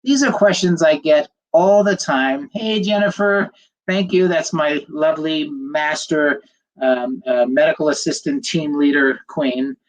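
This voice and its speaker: A man's voice: a little high, measured pace, poor audio. An older man speaks with a slight nasal tone from a cold. He talks in a chatty, rambling way, full of ums and uhs. He greets viewers before settling into a medical talk over a livestream computer mic. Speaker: fertility doctor giving a livestream lecture.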